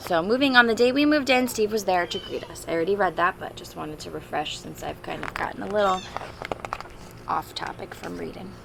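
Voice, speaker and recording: Female storybook reader for young children, expressive and chatty, creaky mid-range voice, clear background noise. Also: lowish voice, a little fast. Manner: expressive, simple explanations and questions for young children. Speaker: teacher reading a picture book aloud to children. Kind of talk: storybook read-aloud with chatty asides to children.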